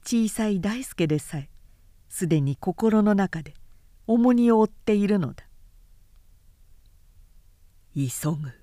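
Female audiobook narrator: medium pitch, composed literary storytelling, careful pacing, very dry sound. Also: animated, normal speed, dark tone, no room sound. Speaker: narrator reading aloud.

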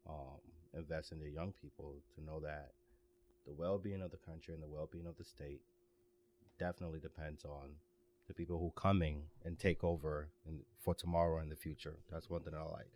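A young man with a very deep voice shares his views in casual chat. He is earnest and often restarts, stacking repeated words as he pushes a point. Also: flat delivery, brisk, a little mellow. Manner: earnest, stumbling restarts when worked up.

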